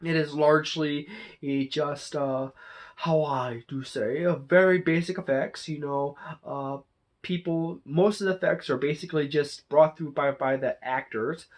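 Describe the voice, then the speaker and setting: A reviewer hosts a channel with a casual, unscripted monologue. The voice sits at a middling pitch. The delivery is halting and rambling, with frequent self-corrections along the way. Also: steady tone. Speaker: movie reviewer and channel host.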